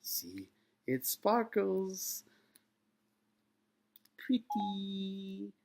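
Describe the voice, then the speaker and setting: A man's voice: high-pitched, very animated, drawn-out words, creaky, a little mellow, pitched above the performer's own voice, full character voice. Man doing an overdramatic, condescending starship captain. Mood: patronizingly friendly. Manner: exaggerated, overacted. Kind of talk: comic sci-fi monologue reading.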